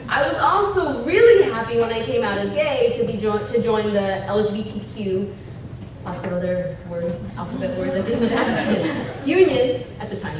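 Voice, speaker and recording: A woman from Tanzania shares her personal story at a fundraising brunch, animated and joking at times, earnest and reflective at others. She sounds distant from the mic, with heavy room echo. Constant ambient noise hums underneath. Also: mid-range voice. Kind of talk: personal story told onstage. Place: event hall at a brunch. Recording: echoey hall, far mic, ambient noise.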